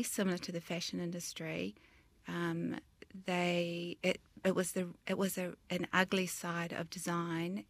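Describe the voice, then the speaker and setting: A middle-aged woman with a New Zealand accent speaks in a low voice with a fairly flat, level melody. She talks earnestly about her work, picking her words and rephrasing as she goes, and the recording is dry and close. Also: no echo. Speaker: guest, designer and business owner. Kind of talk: interview conversation.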